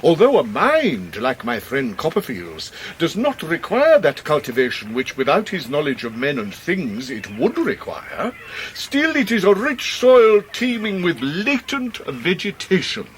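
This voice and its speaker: An actor with an English accent playing a pompous, genial, hard-up middle-aged Victorian gentleman in a radio drama, with florid, theatrical delivery. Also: fairly high pitch, big pitch swings, unhurried. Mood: florid, courteous. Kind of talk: 1990s British radio drama acting. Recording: noisy radio drama recording.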